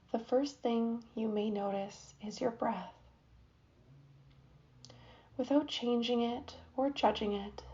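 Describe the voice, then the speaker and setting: A woman guiding a yoga nidra meditation in a soft, soothing way, with long pauses between phrases. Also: a little high. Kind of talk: guided meditation. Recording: dull lo-fi recording.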